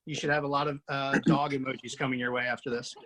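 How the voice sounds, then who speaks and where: A man hosting a casual video-call chat after a table read, easygoing and quick to laugh. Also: medium pitch, flat delivery, bright, forceful tone, slight background noise.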